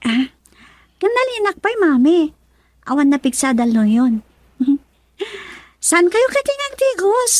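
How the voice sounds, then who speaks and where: A cheerful young Filipino schoolgirl in a radio drama. She speaks to her parents eagerly in a high voice, with a dry studio sound. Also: very animated, a little slow, pauses often, dry recording, heavily acted. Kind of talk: Ilocano radio drama acting.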